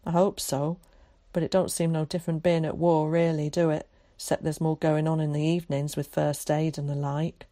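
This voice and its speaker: A woman's voice: deep voice, little pitch movement, normal speed, warm tone, no echo, studio quality, lightly acted. Woman voicing an earnest, wary country girl. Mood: wistful. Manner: rustic, ungrammatical dialect phrasing.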